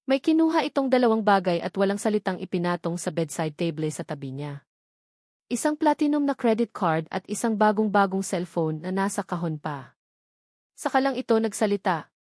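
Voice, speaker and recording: A woman tells a romantic drama as a scripted story. Her voice sits at a medium pitch, and she delivers each phrase with solemn, deliberate weight. The sound is dry, with no room around it. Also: lively intonation, darkish tone, no echo. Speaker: storyteller narrator.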